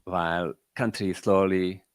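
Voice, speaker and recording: Middle-aged man, Croatian accent, lower register, dry close sound; an entrepreneur rambling through closing remarks with stacked rhetorical questions. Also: fairly even intonation, a little slow, clean tone, no echo. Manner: rambling, full of rhetorical questions and "you know". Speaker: entrepreneur closing a panel event. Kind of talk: closing remarks at a panel discussion.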